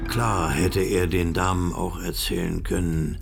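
A man's voice: low voice, flat delivery, a little slow, gravelly, soft background music, full character voice. A man acting as the grave narrator of a crime story in a scripted radio drama. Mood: grave, measured.

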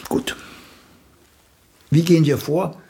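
A male actor in a German radio play, playing an older celebrated playwright who is a condescending, bluntly critical mentor with a gravelly, husky voice. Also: a little high, fairly even intonation, slow, mellow, heavily acted. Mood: dry, musing.

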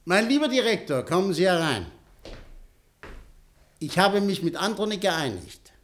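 Effusive tycoon, high animated voice. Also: male voice, unhurried, exaggerated voice. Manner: jovial, pushy, smooth-talking. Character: shrewd, effusive male big industrialist, commanding and cajoling. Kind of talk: German radio play acting.

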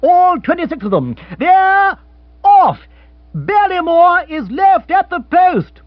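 A man's voice: extremely high pitch, animated, normal speed, dry recording, highs cut off, voice pushed up. A man reading an audiobook, voicing a male radio horse-race commentator calling the race in a rising, eager rush. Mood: excited.

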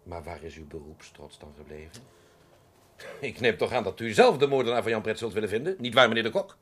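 An actor playing a smug murder suspect in a radio play, haughty and mockingly polite in a formal way. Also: male voice, fairly low pitch, lively intonation, a little fast, husky. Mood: smugly composed.

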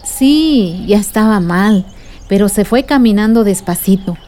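An actress playing a caring aunt in a radio drama, patiently telling family history in a reassuring way. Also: female voice, medium pitch, expressive, a little slow, mellow, lightly acted.

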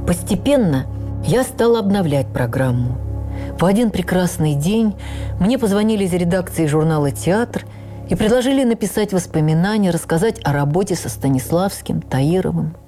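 A woman playing an older actress who recalls her life in a heartfelt, measured radio memoir reading with deliberate pauses. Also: lowish voice, lively intonation, warm tone, faint music behind, lightly acted. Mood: reflective, stirred. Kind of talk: dramatic first-person memoir reading.

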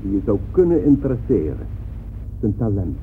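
An actor playing an earnest music school director, formal and insistent, in a radio drama. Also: male voice, fairly low pitch, big pitch swings, normal speed. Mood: earnest, emphatic. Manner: emphatic, formal, repetitive. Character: earnest, insistent male conservatory director pleading his case. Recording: tinny, noisy old radio recording.

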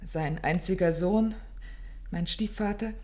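Anguished well-bred young heiress. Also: female voice, fairly low pitch, takes it slowly, darkish tone. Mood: troubled recounting. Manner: anguished, formal, articulate pleading. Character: distressed, well-bred young English heiress seeking help. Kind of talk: German radio play acting.

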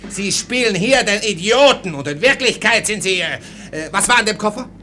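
Hot-tempered first lieutenant, radio play, sharp voice, highly animated, barking, sputtering, exasperated. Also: male voice, moderate pace, soft background music. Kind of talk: German radio play acting.

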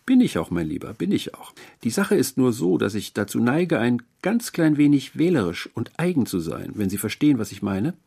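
A man's voice: medium pitch, sing-song, normal speed, gravelly, hardly any pauses, mellow, breathy edge, pitched above the performer's own voice, heavily acted. A man voicing a sweet, doting but eerie old landlady, gushing and overly polite, in an audiobook. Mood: gushing, coy. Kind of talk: audiobook character voice.

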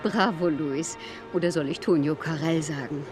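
An actress in a German radio drama playing a poised, teasing society lady full of admiration and flattery. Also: sits low, moderate pace, over music, natural voice. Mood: flattering, teasing.

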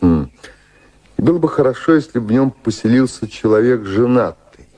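An actor playing a dignified, ardent British colonial official in his fifties in a Russian radio drama, courtly and deliberate, with a husky voice. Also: medium pitch, lively intonation, dark tone, natural voice. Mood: courting, wistful.

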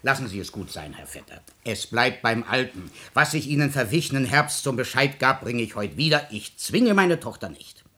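A gruff-tempered older father from a classic radio play. He blusters and exclaims in earthy fashion, his pitch leaping up and dropping down. Beneath the hot temper sits a loving parent. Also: sing-song, normal speed, pushed and bright. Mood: gruff, exasperated. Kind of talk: classic German stage drama radio acting.

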